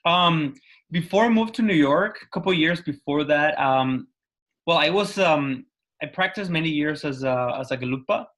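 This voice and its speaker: A man's voice: fairly high pitch, expressive, moderate pace, a bit bright. A man from Colombia chatting on a video call, hesitant and full of ums and yeahs. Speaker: workshop participant. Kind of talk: casual video call chat.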